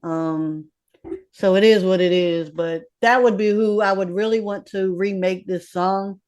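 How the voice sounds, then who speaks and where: A woman's voice: medium pitch, unhurried, muffled recording. A woman in her fifties, a recording artist, talking bluntly and with lots of swearing as a guest in a casual interview. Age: 50s. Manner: blunt, swearing, streetwise and animated. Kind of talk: candid casual interview talk.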